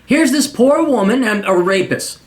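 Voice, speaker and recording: A man with a high-pitched voice rants his advice straight at the listener. He is blunt and crude, swears freely, and mocks the person he addresses while lecturing them on how the world works. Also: lively intonation, moderate pace. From United States. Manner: profane, mocking, lecturing. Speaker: podcast host giving paid advice.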